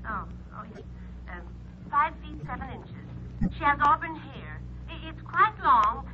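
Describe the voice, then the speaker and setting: A high, flustered woman's voice on a muffled, noisy old radio drama recording. an anxious small-town mother who stammers and hesitates as she talks. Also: big pitch swings, measured pace. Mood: anxious, eager. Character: anxious small-town mother phoning about missing daughter. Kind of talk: 1940s radio drama acting.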